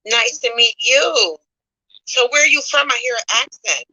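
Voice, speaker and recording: Upbeat lady moderating a chat, bright dry voice. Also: fairly high pitch, animated, unhurried, no room sound, rough recording. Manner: cheerful, enthusiastic. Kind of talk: casual chat.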